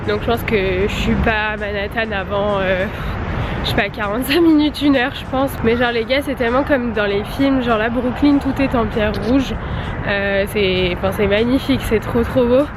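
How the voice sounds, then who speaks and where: A young woman with a head cold talks excitedly into a handheld camera, her voice high and bright. She chatters in a loose, slangy vlog style. Heavy traffic and city noise roar behind her on the street. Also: moderate pace. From Paris, France. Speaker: travel vlogger. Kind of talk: casual vlog talking to camera. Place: outdoors on busy city streets. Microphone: handheld camera mic.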